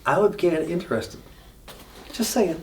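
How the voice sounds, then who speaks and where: A man's voice: sits high, lively intonation, a little slow, recorded from a distance. A man in his fifties, a car club member, chatting in a dry, offhand way. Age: 50s. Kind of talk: casual chat. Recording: voice off-mic.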